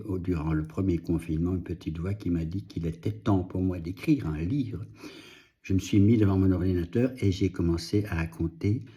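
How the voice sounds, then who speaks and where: Soft-spoken Dutch-native man, deep and mellow. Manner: slow, reflective, halting with restarts. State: moved, emotional. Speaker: vlogger and author. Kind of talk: personal video update.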